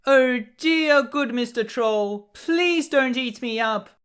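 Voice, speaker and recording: A man's voice: extremely high pitch, takes it slowly, fairly bright tone, smooth, voice pushed up, exaggerated voice. A man voicing a tiny, timid young billy goat in a storybook, small and thin in tone, pleading politely and fearfully. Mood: frightened pleading.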